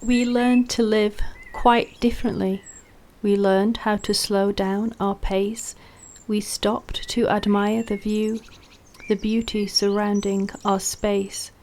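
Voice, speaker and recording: A woman reciting a rhyming poem in a measured, rhythmic way. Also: medium pitch, little pitch movement, a little mellow.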